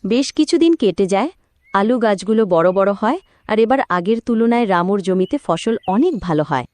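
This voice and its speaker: A woman's voice: mid-range voice, lively intonation, moderate pace, darkish tone, faint music behind. A woman narrating a cartoon folk story in a scripted storybook style.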